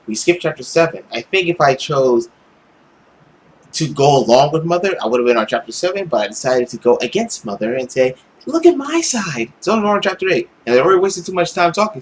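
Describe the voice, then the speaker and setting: A man's voice: medium pitch, a little hiss or hum. A man talking casually as the host of a gaming let's play. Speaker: host of a visual novel let's play series.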